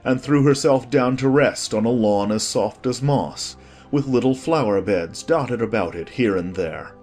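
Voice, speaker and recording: A man's voice: medium pitch, measured pace, darkish tone, faint music behind. A man reading scripted storybook narration as the narrator of a dramatized audiobook.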